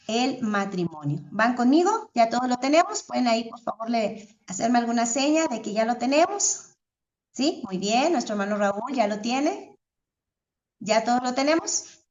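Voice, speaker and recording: Higher-voiced woman leading an online class. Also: lively intonation, unhurried, a little mellow. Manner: courteous, devout, encouraging participation. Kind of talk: online class talk, addressing students. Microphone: video call. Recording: dull, lo-fi video call audio.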